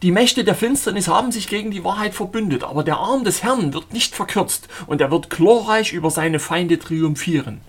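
Man doing a fervent ranting preacher, husky, pitched high and loud, audiobook. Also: expressive, normal speed, hardly any pauses. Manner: shouting, declamatory. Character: fanatical zealous male preacher, ranting.

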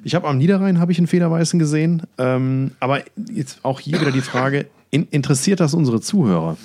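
A man's voice: a little high, expressive, quick pace, a little mellow. A man co-hosting a podcast in casual chat, putting in dry, teasing remarks. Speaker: podcast co-host. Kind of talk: casual chat between two podcast hosts.

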